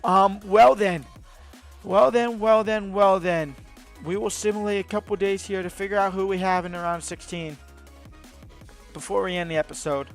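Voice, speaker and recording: Guy yelling thru his football game career mode, high voice, getting hoarse, faint game music behind. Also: normal speed. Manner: excitable, bursts into shouts at big moments. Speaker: gaming video host. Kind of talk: live video game commentary.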